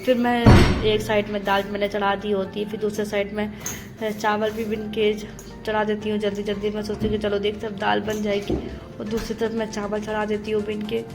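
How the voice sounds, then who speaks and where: A young woman homemaker narrating her daily chores in a steady, matter-of-fact voice-over, step by step. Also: medium pitch, brisk. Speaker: homemaker vlogger. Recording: voice-over with loud background music.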